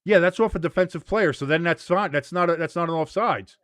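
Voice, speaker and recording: A man hosting a casual watch-along, joking, swearing and shouting at big plays. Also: a little high, a little fast, no echo, clean studio sound. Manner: excitable, profane, jokey, shouts at big plays. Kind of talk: casual live match commentary.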